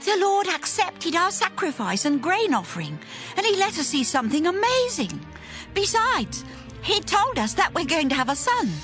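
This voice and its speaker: A man voicing Manoah's wife in a high put-on voice, calm and consoling, in a dramatized Bible reading. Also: normal speed, faint music behind, heavily acted. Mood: reassuring. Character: Manoah's wife, young woman, calm and reassuring.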